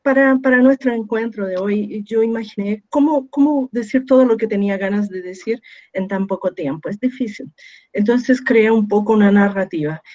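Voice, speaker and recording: Brief: Chilean-born woman living in Brazil, Portuguese-tinged Spanish, measured talk with pauses, mid-pitched voice, muffled call audio. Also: dark tone. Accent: Chilean Spanish with strong Brazilian Portuguese influence. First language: Spanish, long living in Brazil. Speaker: architect and webinar speaker. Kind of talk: presenting a talk on a video call.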